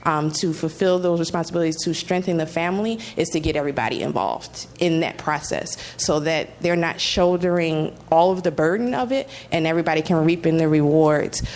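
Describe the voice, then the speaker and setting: A woman speaking as a panelist, giving a thoughtful answer in a halting way, repeating words as she goes. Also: sits low, a little mellow, slight background noise. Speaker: panel speaker at a community address.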